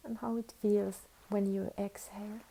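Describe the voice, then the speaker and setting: A woman teaches a guided meditation in a mellow, relaxed voice. She speaks very evenly and unhurriedly, leaving long pauses between soothing instructions. A faint layer of background noise sits behind her. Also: medium pitch, creaky, rough recording.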